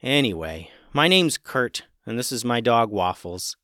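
A man's voice: medium pitch, very animated, a little slow, sharp tone, no room sound, studio quality. A man voicing an earnest young boy who stammers, in a storybook reading. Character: eager young boy, earnest, easily amazed.

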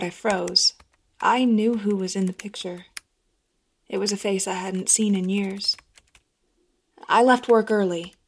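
A woman's voice: mid-range voice, close to an everyday voice. A woman narrating a first-person horror story as a troubled woman confessing a haunting memory. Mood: uneasy, confessional. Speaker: guest narrator.

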